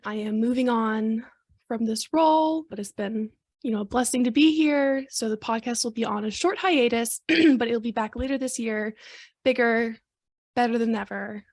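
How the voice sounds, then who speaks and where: A woman hosting an interview podcast, her voice sitting a little high. She moves from a read-aloud opening into friendly conversation with her guests. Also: brisk. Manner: friendly, scripted intro then conversational. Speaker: podcast host.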